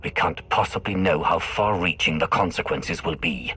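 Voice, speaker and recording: An actor playing a stern, resolute Sith hero in a video game cutscene, with a grave, commanding delivery. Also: male voice, deep voice, moderate pace, sharp tone, breathy edge, faint music behind, heavily acted.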